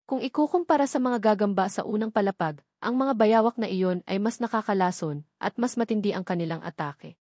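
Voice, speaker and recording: A woman reads a novel aloud as a scripted narrator, formally and in measured, separate phrases. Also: medium pitch, darkish tone, dry recording, clean studio sound. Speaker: audiobook narrator.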